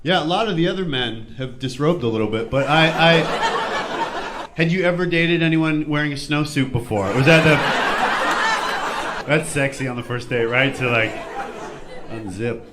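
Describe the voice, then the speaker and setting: A man doing stand-up crowd work, teasing audience members in a halting back-and-forth. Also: a little high. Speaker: stand-up comedian. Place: comedy club stage with audience laughter. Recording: roomy live club, loud crowd noise.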